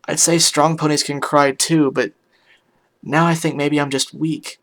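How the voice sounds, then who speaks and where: A man voicing a heartbroken, weary pony musician in a hushed, halting, emotional first-person story reading. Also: a little high, little pitch movement. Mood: tearful, confused. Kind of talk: dramatic first-person fan fiction reading.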